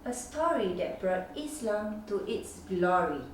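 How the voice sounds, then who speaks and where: A mother with a Singaporean accent reading a children's picture book aloud, expressive and sing-song, with gasps. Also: medium pitch, a little slow, slight background noise. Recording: echoey room, mic at a distance.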